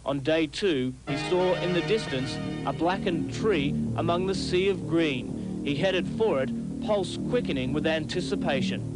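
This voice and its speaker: A man's voice: on the high side, a little slow, hardly any pauses, low-quality recording. A man with an Australian accent narrating a scripted television news documentary as a reporter. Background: music.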